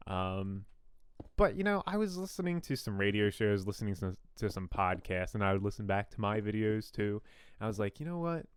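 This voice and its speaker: A young man with a fairly deep radio-style voice, chatting casually and rambling with self-deprecating asides while he builds in a game. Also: very animated, fairly quick, smooth. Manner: rambling, self-deprecating, frequent ums and restarts. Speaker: gaming YouTuber. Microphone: new studio condenser mic.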